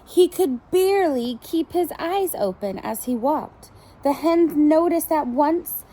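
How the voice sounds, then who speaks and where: A woman preschool teacher reading a picture book aloud to young children, in an animated storytelling style with asides to the listeners. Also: sits high, poor audio. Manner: pauses to comment and question the children.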